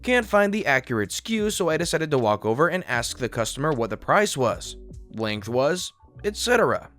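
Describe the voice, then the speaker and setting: A man reading stories from an online forum aloud as scripted narration, in a steady reading voice that shifts for quoted speech. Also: mid-range voice, moderate pace, soft background music.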